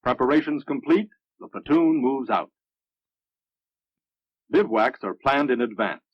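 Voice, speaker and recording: An American man narrates a military training film with measured, instructive delivery. His voice is a bit husky and sits at a middling pitch. The sound is dry and dull, like a vintage film soundtrack. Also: animated, dry recording.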